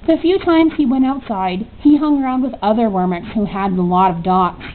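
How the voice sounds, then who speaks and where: A woman's voice: on the high side, normal speed. A woman reading a children's story aloud as a narrator. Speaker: storybook reader. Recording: tinny narrow-band audio, faint noise.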